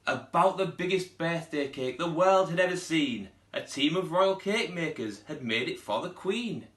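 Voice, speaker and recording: A man's voice: fairly high pitch, normal speed, roomy sound. A man, a primary school teacher reading a children's storybook aloud expressively. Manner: expressive, putting on character voices.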